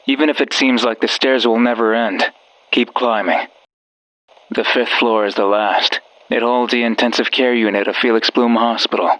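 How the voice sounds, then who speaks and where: Casting: male actor as a menacing false friend for a horror visual novel, medium-pitched, coaxing and darkly wry. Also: steady tone, moderate pace, fairly bright tone, no echo, close to an everyday voice, rough recording. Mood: coaxing, ominous. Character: sinister, coaxing male voice of a friend over a radio.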